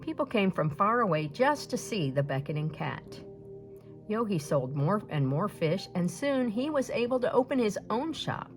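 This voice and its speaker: A woman reading a folktale aloud as a storyteller, in an expressive scripted narration style. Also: mid-range voice, normal speed, soft background music.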